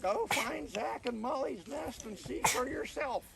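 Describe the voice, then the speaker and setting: A man's voice: very high-pitched, lively intonation, a little slow, creaky, pitched above the performer's own voice, heavily acted. A man voicing a wise old female raven giving instruction, in an outdoor storybook reading. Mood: cautioning. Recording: distant mic, noisy outdoor recording.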